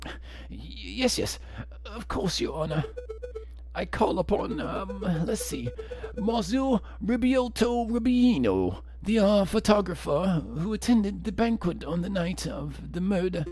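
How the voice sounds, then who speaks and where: Man doing a high, fussy bird prosecutor. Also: very animated, a little slow, darkish tone, faint music behind, exaggerated voice. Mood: flustered. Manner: prim, hesitant, stammering.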